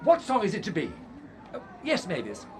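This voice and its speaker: A man's voice: high-pitched, huge pitch swings, normal speed, a crowd in the background. An actor playing a kindly, slightly hesitant male schoolteacher looking after evacuee children in a radio play. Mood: encouraging. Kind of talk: radio drama acting. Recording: noisy crowded ambience behind voice.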